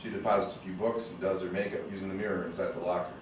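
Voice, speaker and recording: A man reading the stage directions aloud at a screenplay table read, brisk and matter-of-fact. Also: low voice, fairly even intonation. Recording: echoey room, distant mic, background noise.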